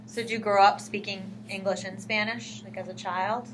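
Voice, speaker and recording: A woman's voice: mid-range voice, steady tone, normal speed. A woman interviewing someone casually, prompting with short follow-up questions. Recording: humming background noise.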